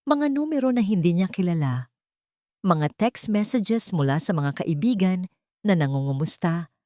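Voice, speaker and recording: A Filipino woman narrates a dramatic love story from a script. Her voice sits a little low for a woman, and the sound is dry, with very little room around it. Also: a little mellow, dry recording.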